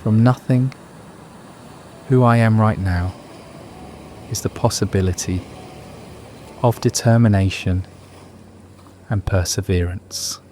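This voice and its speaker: Slow, low-voiced man guiding meditation. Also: steady tone, a little mellow, slight background noise. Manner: soothing, unhurried, measured. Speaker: meditation guide. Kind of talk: guided meditation narration.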